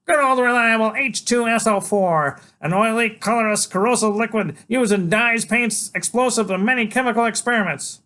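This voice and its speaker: Brainy little boy, bold, very high and shrill, put on by a male reader. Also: moderate pace, hardly any pauses. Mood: fierce. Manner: shrill, fierce and excitable. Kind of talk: audiobook reading.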